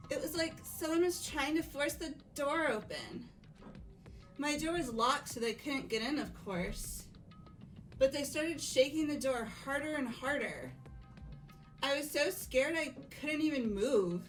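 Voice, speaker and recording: A woman voicing a timid, sweet teenage schoolgirl with a soft-hearted, hesitant manner in a fan-voiced game. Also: sits high, lively intonation, measured pace, faint music behind. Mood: frightened. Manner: hesitant, apologetic. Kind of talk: amateur dub of a visual novel game.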